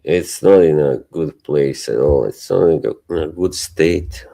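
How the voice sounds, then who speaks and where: Deep, slow-talking Bosnian-accented man. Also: gravelly, warm tone. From Bosnia and Herzegovina. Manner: laid-back, chuckles often, trailing filler words. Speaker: livestream co-host. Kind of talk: casual chat.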